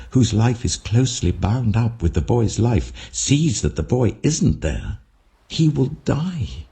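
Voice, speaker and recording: Man voicing deep-voiced humble pleading brother. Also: warm tone. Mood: anguished pleading. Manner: earnest, deferential. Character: pleading, humble brother begging before a powerful lord. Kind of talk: dramatic scripture reading.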